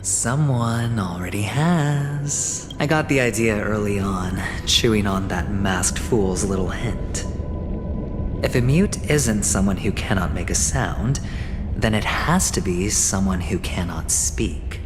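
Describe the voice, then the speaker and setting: A man voicing a suave, sly young gambler in a video game cutscene, with smooth, theatrical, sardonic delivery. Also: fairly low pitch, takes it slowly. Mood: confiding, wry. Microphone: close studio voice recording. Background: game music.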